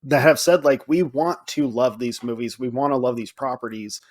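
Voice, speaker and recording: A man co-hosting a podcast, discussing films casually in an animated, emphatic way. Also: medium pitch, moderate pace. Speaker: podcast co-host.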